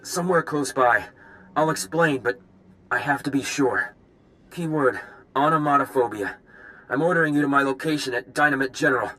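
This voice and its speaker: A man's voice: mid-range voice, moderate pace, sharp tone, lightly acted. A man playing a wounded, desperate spy in a video game, in pain and struggling to speak. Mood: strained urgency.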